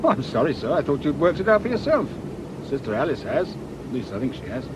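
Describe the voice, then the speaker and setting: An actor playing a shrewd British police inspector in a radio drama, with a dry, colloquial, knowing manner. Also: male voice, sing-song, brisk, lightly acted. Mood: dry, explanatory. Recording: noisy outdoor ambience, vehicle rumble.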